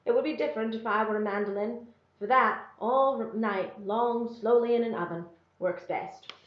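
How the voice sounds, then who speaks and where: Wry older storybook woman performed by a female reader, knowing, unhurried, instructive, in an echoey room. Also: on the high side, close to an everyday voice. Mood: wry, gentle. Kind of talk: storybook character reading. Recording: roomy, slightly dull sound.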